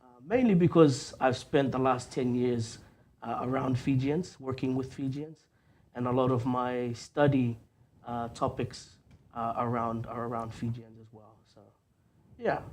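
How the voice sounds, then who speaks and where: A young man guesting on a radio chat show, talking softly with many ums and uhs. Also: medium pitch, steady tone, measured pace.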